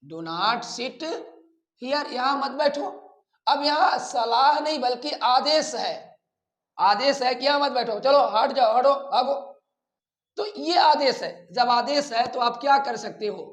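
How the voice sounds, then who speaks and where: A man with an Indian accent teaching a grammar lesson in a didactic way, repeating phrases deliberately. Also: very high voice, expressive, a bit bright. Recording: echoey room sound.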